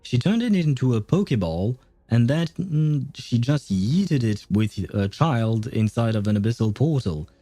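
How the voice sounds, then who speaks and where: A man's voice: mid-range voice, expressive, a little slow, dry recording. A man livestreaming a video game with casual, chatty commentary, thinking aloud as he plays. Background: soft game music.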